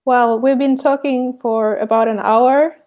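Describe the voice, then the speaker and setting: A woman's voice: high-pitched, steady tone, measured pace, highs cut off. A woman from Finland with a Finnish accent, chatting casually and hesitantly on a livestream. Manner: hesitant, many fillers. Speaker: artist, co-host.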